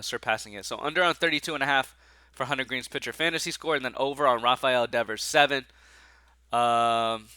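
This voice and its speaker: Man, congested and nasal, excitable and slangy, sharp bright voice, dry close sound. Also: mid-range voice, clear, resonant tone, no room sound. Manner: excitable, slangy, swears casually. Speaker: sports betting picks host. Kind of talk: casual betting picks talk.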